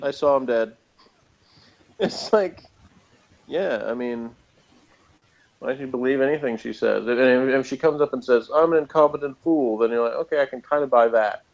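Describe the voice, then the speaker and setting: A man's voice: medium pitch, normal speed, gravelly, pauses often, muffled recording. A man giving a casual, off-the-cuff TV episode review as host, rambling along in a sardonic way.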